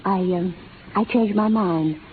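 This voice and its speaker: An actress playing a gentle, earnest young schoolteacher with proper, sincere speech in an old radio drama. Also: female voice, mid-range voice, slow, lightly acted. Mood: timid, earnest. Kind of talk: 1930s radio drama acting. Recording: tinny, noisy 1930s radio recording.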